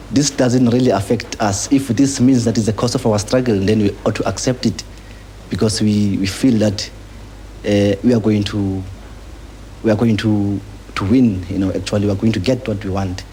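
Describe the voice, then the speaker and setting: A young South African man, a student activist, speaking earnestly and haltingly in a documentary interview. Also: lowish voice, steady tone, warm tone. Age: young adult. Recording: noisy 1980s newsreel location sound.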